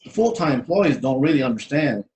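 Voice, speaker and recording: A man's voice: medium pitch, sing-song, darkish tone, a little hiss or hum, lightly acted. A man acting a stern, officious depot boss. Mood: disapproving. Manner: measured, reproving, bureaucratic. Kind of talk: one-act play table read. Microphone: video call.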